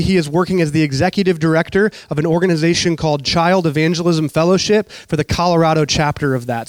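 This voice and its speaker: A man's voice: on the high side, dry recording. A man hosting a chapel service and giving an informal introduction for a guest speaker.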